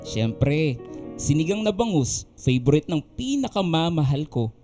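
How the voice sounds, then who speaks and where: A man playing a tender, gently teasing young husband and father in a radio drama, over music. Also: fairly high pitch, very animated, unhurried. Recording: music under the voice.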